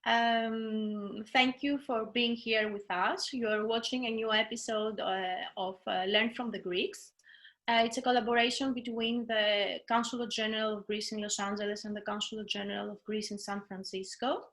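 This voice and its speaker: A woman's voice: medium pitch, flat delivery, normal speed, fairly bright tone. A young adult woman hosting an interview over a video call, speaking hesitantly with many fillers. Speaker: host, consulate officer.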